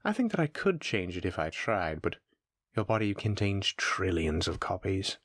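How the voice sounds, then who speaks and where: A man's voice: deep voice, big pitch swings, moderate pace, fairly bright tone, no echo, clean studio sound, heavily acted. A man playing an ancient, sadistic monster in a horror audio roleplay, musing theatrically and mocking the human pet he addresses. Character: taunting sadistic centuries-old male monster keeping a human pet.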